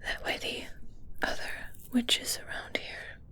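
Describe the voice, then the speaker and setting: A woman playing an evil witch in an ASMR roleplay, sly and coolly amused, teasing and menacingly sweet in a breathy whisper. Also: slow, bright, forceful tone. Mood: teasing, coaxing.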